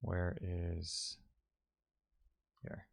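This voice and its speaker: A man's voice: deep voice, monotone, extremely slow, gravelly. A man giving casual, think-aloud commentary as a live-coding streamer, often muttering to himself and trailing off.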